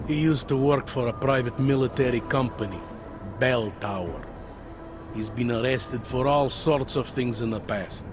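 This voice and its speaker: An actor playing a weary, self-deprecating older cop near retirement in a video game, talking in a wry, conversational way. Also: male voice, mid-range voice, normal speed, close to an everyday voice. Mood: matter-of-fact. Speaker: police officer. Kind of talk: video game cutscene dialogue. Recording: background music under dialogue.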